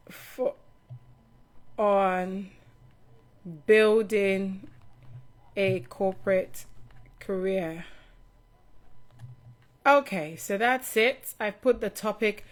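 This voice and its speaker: A middle-aged woman from Nigeria hosting an informal live Q&A, chatting directly to her viewers as a career adviser. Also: mid-range voice. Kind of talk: casual live stream Q&A chat to viewers.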